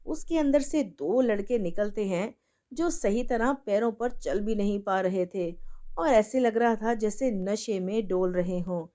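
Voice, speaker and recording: Mellow-voiced woman storyteller. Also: mid-range voice, measured pace. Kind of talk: scripted story narration.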